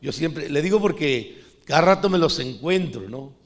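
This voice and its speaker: A middle-aged man from Costa Rica preaches to his congregation in an easy, conversational manner. His voice sits a little higher than most men's, and he keeps turning to the listeners as brothers. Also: lively intonation, normal speed. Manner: conversational preaching, often adds "hermano". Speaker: pastor. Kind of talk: sermon.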